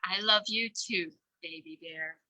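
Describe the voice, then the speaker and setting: A woman reading a storybook as a kindly, wise owl, motherly and reassuring in a deliberate way. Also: lowish voice, sharp tone, dry recording, pitched below the performer's own voice, low-quality recording. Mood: tender.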